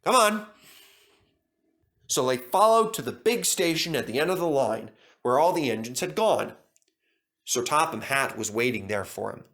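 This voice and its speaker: A man's voice: mid-range voice, very animated, normal speed, a bit bright. A man narrating a children's story from a script as a storybook reader.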